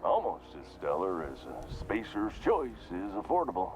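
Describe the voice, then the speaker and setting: A man's voice: deep voice, huge pitch swings, moderate pace, over music. An actor playing a corporate vendor in a mascot helmet in a video game, with forced salesman patter over weary resignation. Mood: forced salesman cheer. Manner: forced salesman cheer with slogans, slipping into resignation. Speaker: shop vendor.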